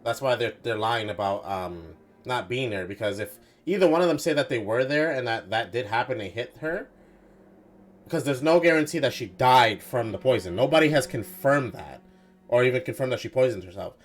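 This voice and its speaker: A man's voice: mid-range voice, animated, normal speed. A man talking casually and informally as a game streamer, swearing and thinking aloud through his theories. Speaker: streamer playing a mystery game.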